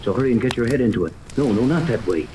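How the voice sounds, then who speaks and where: An actor playing an earnest, authoritative ship captain in a 1930s radio adventure, explaining things with urgency. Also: male voice, mid-range voice, expressive, racing, close to an everyday voice. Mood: urgent. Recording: noisy old 1930s radio, rain-like hiss.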